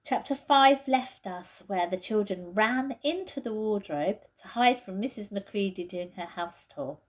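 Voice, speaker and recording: Female storybook reader, mid-pitched, deliberate and expressive, taking her time. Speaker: teacher reading to pupils. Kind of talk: children's storybook read aloud.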